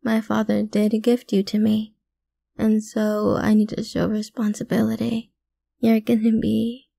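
A woman playing a possessive, lovesick mafia boss's daughter in an ASMR roleplay, speaking softly and breathily, often slipping into whispers, with sweet menace. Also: mid-range voice, dry recording. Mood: obsessive, tender. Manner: soft, breathy, slow, often dropping into whispers. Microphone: close mic, dry.

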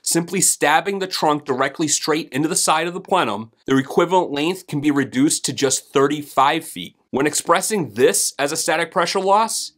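A man in his thirties hosting a technical training video, speaking in a scripted, deliberate way straight to camera from a home office. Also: on the high side, a bit bright. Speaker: host and HVAC trainer. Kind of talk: scripted instructional explainer.

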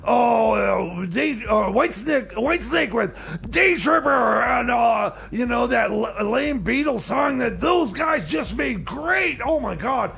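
A man's voice: very high voice, lively intonation, slow pace, gravelly, few pauses, a bit bright, raised voice, full character voice. A man playing a blustery, stammering radio deejay who gets flustered and exasperated, in comedy radio banter. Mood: excited, blustery. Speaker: radio host. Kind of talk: comedy radio theater, music show banter.

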